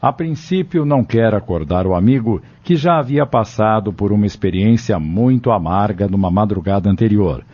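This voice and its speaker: A man's voice: fairly low pitch, expressive, moderate pace, few pauses. A man narrating a scripted audio novel as its storyteller. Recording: dull lo-fi audio with music behind.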